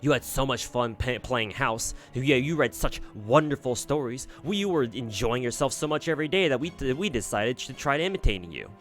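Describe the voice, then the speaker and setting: Brief: cruel childlike fairies, excited and sing-song, read by a man. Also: medium pitch, moderate pace, hardly any pauses, soft background music, lightly acted. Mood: gleeful. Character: gleeful cruel fairy mob, childlike and bloodthirsty.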